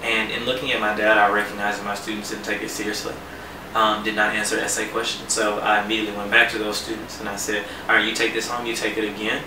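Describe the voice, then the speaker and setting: A man's voice: on the low side, level tone, quick pace. A man of twenty-two, a teacher in training, giving a personal account on a roomy, noisy recording. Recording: roomy, noisy, distant microphone.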